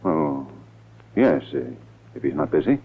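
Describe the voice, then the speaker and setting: Blustering, scheming politician, wildly swinging pitch. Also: male voice, very animated, a little slow, gravelly, slightly rough, full character voice. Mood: uneasy. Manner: blustering, stammering under pressure. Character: scheming middle-aged male politician, smug but easily rattled. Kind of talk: 1940s radio acting. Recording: muffled vintage radio broadcast.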